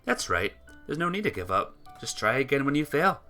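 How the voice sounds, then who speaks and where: A woman streams a visual novel, moving between reading aloud and casual chat. Her voice is strikingly low yet bright, and it moves around in a lively way. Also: fairly quick. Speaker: game streamer. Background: music.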